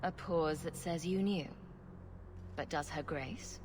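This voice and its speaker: A woman's voice: low-pitched, slow, darkish tone. An actress playing a bold, sarcastic female rogue adventurer in a video game, with a teasing, challenging manner. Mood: sardonic, challenging. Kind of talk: video game cutscene acting. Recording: clear background ambient noise.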